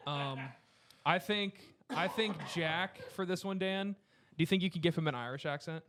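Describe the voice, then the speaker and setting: A man with a slightly high voice runs a table read as host and narrator. He mixes casual directions with scripted scene reading. Faint noise and clicks sit behind him. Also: normal speed. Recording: background noise, occasional clicks.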